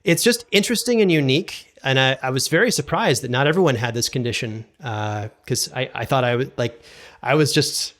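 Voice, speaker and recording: A man's voice: medium pitch, fairly quick, fairly bright tone. A man speaking as a podcast guest in a casual interview, often doubling back and repeating his words hesitantly. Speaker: podcast guest, audio drama producer and sound designer.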